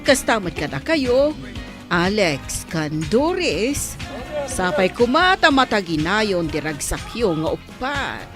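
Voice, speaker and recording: A woman's voice: medium pitch, very animated, slow pace, music in the background. A woman with an Ilocano accent playing a proud, hospitable village mother at a wedding in a radio comedy drama. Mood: festive, loud.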